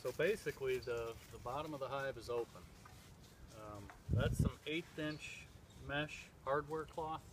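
A man in his thirties explaining step by step as he works outdoors in spring woods, talking in a plain, methodical way with frequent pauses. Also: mid-range voice, very noisy. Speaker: beekeeper vlogger. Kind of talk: casual demonstration. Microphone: far camera on a tripod. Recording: distant outdoor mic with clear background ambience.

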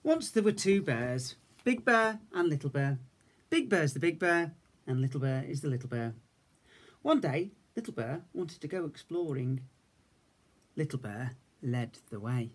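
Male infant teacher, fifties, English accent, reading to small children with lively, varied intonation. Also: a little high, unhurried, slightly rough. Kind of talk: children's story read-aloud. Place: indoors, room at home.

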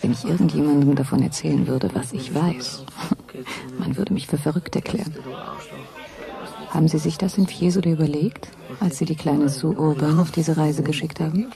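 A woman's voice: sits low, moderate pace, gravelly. An actress playing a reflective young woman lost in time, delivering an inward, pensive radio drama monologue. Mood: pensive, troubled.

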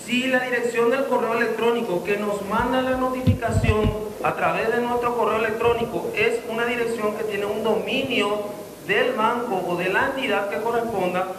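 A man's voice: very high-pitched, steady tone, normal speed. A Paraguayan man in his thirties, a police spokesman, gives a formal, wordy press statement with safety advice in a Paraguayan accent. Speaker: police deputy commissioner, spokesman. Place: indoor conference room. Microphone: handheld microphone held close to mouth. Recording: echoey room, long reverb, background noise.

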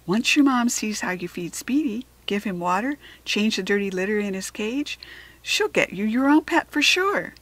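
A woman's voice: medium pitch, lively intonation, moderate pace, fairly bright tone. Woman as an eager, earnest young schoolgirl. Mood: eager, explaining. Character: eager, kind eight-year-old schoolgirl.

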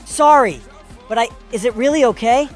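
A woman's voice: fairly high pitch, moderate pace, soft background music, natural voice. A woman voicing a shy, hesitant little mouse who stammers. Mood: nervous.

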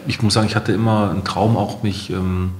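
Low-voiced middle-aged man in a roomy space. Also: flat delivery, fairly quick, a little hiss or hum. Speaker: guest, company co-founder. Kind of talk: conversational interview answers. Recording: roomy echo.

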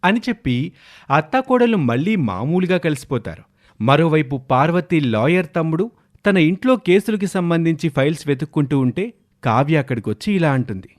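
A man's voice: a little high, animated, normal speed. A man narrating a scripted family drama story, linking the characters' dialogue.

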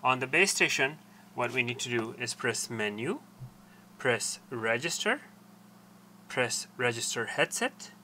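Bright-voiced man giving a halting tech tutorial. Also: medium pitch, expressive, slight background noise. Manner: halting, deliberate step-by-step explaining. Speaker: tutorial presenter. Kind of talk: hands-on technical tutorial, explanatory. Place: small cluttered indoor workshop.

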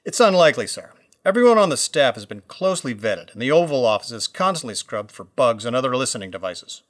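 Animated man acting an American agent. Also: medium pitch, fast talker. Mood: serious, respectful. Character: crisp, deferential male American special agent. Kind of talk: radio drama acting.

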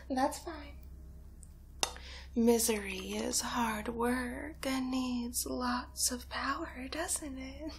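Woman playing a very slow, whispering vengeful witch. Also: fairly high pitch, a little hiss or hum. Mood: gleeful, mischievous. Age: young adult. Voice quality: breathy, often whispered. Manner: slow, deliberate, soothing yet menacing. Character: sly, vengeful young witch brewing a hex. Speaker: ASMR roleplay performer. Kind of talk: ASMR roleplay, soft whispering and murmuring. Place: small bedroom, indoors.

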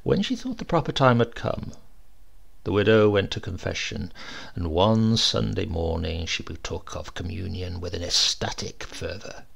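A man reading a classic short story aloud in a measured, dramatic storytelling manner. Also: low voice, expressive, gravelly, fairly bright tone, slightly rough. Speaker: narrator.

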